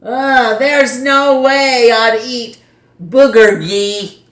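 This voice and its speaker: Lady doing a snotty little schoolgirl from a kids book, high, loud, scornful, echoey. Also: extremely slow. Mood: scornful, disgusted. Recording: roomy echo.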